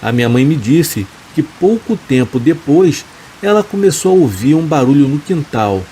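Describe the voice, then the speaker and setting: Calm man narrating a horror story. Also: on the high side, normal speed, slight background noise, rough recording. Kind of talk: scripted narration of a first-person horror story. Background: rain sounds.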